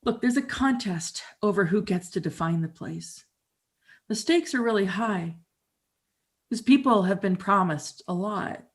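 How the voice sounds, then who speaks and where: A middle-aged woman from Canada, performing a theatrical storytelling monologue in a wry, conversational, expressive way. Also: medium pitch, unhurried.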